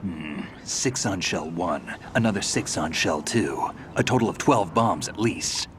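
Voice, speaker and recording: A man's voice: low-pitched, expressive, a little slow, noisy background. A man playing a battle-hardened, confident soldier in a video game cutscene, speaking in a terse, brusque, commanding way. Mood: terse, businesslike.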